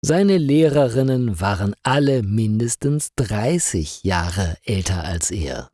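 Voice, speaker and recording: A man narrating a scripted story for language learners in a careful, deliberate way. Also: lowish voice, lively intonation, mellow, dry recording, studio quality. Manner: careful, clearly paced for learners.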